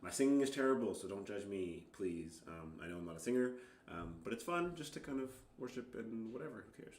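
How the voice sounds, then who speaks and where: A man chatting casually and self-deprecatingly as an amateur worship musician on a livestream, in a halting, rambling, apologetic way. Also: on the low side, big pitch swings, a little mellow, slight background noise. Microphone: microphone shared with guitar. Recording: roomy, with guitar music.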